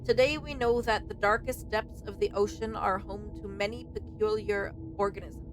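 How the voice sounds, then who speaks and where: A woman's voice: medium pitch, fairly even intonation, moderate pace, noisy background. A young woman doing casual let's play commentary, reacting informally and reading on-screen text aloud. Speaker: streamer playing and reacting to a game.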